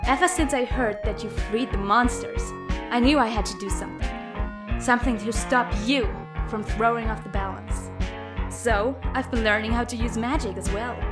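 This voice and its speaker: Woman playing a smug, higher-voiced villain girl. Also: normal speed, music in the background, natural voice. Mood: gloating. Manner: taunting, sarcastic. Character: smug, scornful villainous girl with mind powers. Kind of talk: audio drama acting.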